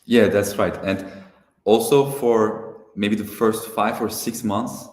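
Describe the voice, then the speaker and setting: A young man from Turkey, a software founder, talking casually as a guest on a live video call, relaxed and hesitant with many fillers. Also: fairly low pitch, slow, roomy sound. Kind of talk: casual interview over a live stream.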